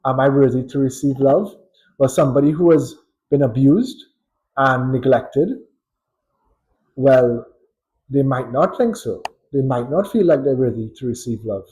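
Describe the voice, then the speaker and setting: Man, Trinidadian accent, psychologist, slow deliberate pace, mid-pitched voice, reflective teaching talk. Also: dark tone, poor audio. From Trinidad and Tobago. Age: adult. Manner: measured, thoughtful, with pauses and light chuckles. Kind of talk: unscripted educational talk.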